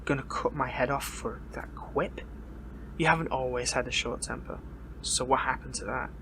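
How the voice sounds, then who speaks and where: A man playing a bitter, defiant captured superhero in an audio roleplay, speaking in a strained, halting, taunting way. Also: medium pitch, noisy background, close to an everyday voice. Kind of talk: dramatic audio roleplay monologue.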